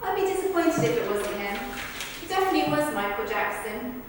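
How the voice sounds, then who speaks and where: A woman's voice: a little high, sing-song, fairly quick. A woman rehearsing verbatim witness accounts for the stage, telling them in a conversational but projected way. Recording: echoey hall, far from mic, faint noise.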